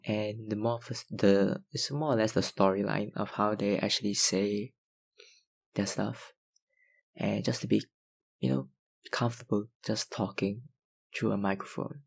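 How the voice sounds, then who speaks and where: Young male ASMR creator, low-pitched, unhurried, filler-heavy fan Q&A. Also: steady tone, creaky, husky. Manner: hesitant, lots of ums and likes, soft laughs. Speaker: ASMR YouTuber answering viewer questions. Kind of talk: casual Q&A chat.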